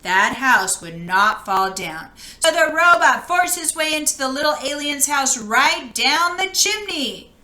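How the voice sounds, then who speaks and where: Bright, high-voiced woman reading a story. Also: animated, a little slow, echoey room. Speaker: storybook reader. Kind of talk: expressive children's read-aloud narration.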